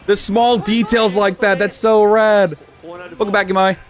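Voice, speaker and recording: A young man streaming, chatting casually in an even, low-key way with sudden bursts of excitement. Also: unhurried, soft background music. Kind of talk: casual live-stream reaction chat.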